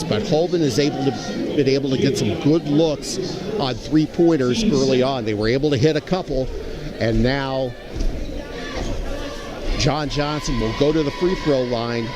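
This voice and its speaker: A man's voice: mid-range voice, normal speed. A man giving live basketball analysis as a color commentator, explaining and restating his points for emphasis. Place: booth at an indoor basketball game. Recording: crowd noise behind the commentary. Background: clearly audible crowd.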